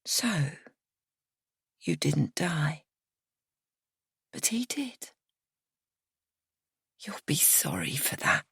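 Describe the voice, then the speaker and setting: A woman's voice: fairly low pitch, expressive, breathy edge, no room sound, studio quality, heavily acted. A woman voicing a bitter grieving young woman. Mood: cold. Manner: slow, accusing drawl. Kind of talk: audiobook character reading.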